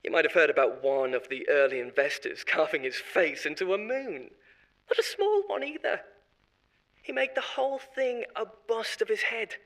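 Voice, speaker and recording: A man's voice: high-pitched, lively intonation, moderate pace, fairly bright tone. An actor playing a boastful, self-satisfied former corporate consultant who chats on and laughs at his own jokes. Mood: smug, chatty. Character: smug, boastful male corporate insider. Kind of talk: audio drama monologue.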